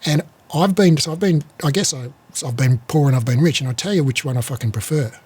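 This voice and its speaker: A man's voice: a little high, fast, a little mellow, husky. A man in his fifties chatting casually as a podcast host, blunt and sweary, often restarting his phrases. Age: 50s. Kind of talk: casual chat.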